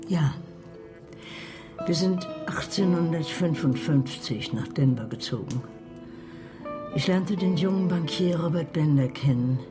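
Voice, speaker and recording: An actress playing a grieving, embittered mother in a German radio drama, telling her past gravely and deliberately. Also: low-pitched, expressive. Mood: grave, bitter. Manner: grave, deliberate, emotional storytelling. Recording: music under the voice.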